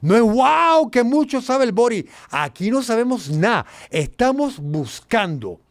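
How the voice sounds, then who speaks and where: Puerto Rican male host, animated and emphatic, lively pitch, dry studio sound. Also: measured pace, no room sound. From Puerto Rico. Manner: animated, emphatic, swings between low asides and loud exclaims. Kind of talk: casual educational talk.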